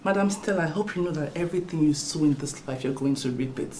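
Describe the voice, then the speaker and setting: A woman's voice: sits low, fast, a little mellow, slight background noise, close to an everyday voice. A woman playing a scornful mocking sister. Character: scornful sister defending her brother.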